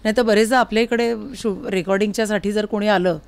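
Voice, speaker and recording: A woman's voice: mid-range voice, steady tone, fast talker. A woman hosting a casual interview, chatting in an encouraging, exclaiming way. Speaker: interviewer, host.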